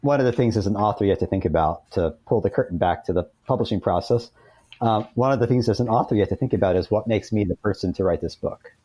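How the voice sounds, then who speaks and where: A man's voice: on the low side, fast, dark tone, no echo. A man speaking as an author guest in a conversational interview, informal and self-deprecating.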